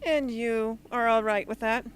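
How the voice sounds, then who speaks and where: A woman's voice: a little high, a little slow, clean tone, natural voice. A woman playing a stern, formal rebel leader with an authoritative manner in an amateur radio drama. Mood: cool, questioning.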